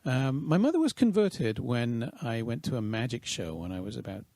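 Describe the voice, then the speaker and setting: Creaky-voiced Welsh-born man, hesitant. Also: medium pitch, very animated, normal speed, dark tone. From Wales. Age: 50s. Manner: thoughtful, hesitant, many ums and restarts. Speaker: guest. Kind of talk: casual interview.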